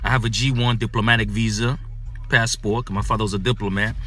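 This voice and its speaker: A man, born in Ghana and raised in the United States, telling a personal story in a casual, animated way full of "man" and "you know.". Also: lowish voice, fast talker.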